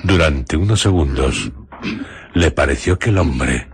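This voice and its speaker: A man narrating a radio drama in a measured, suspenseful storytelling manner. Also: extremely low pitch, lively intonation, a little hiss or hum.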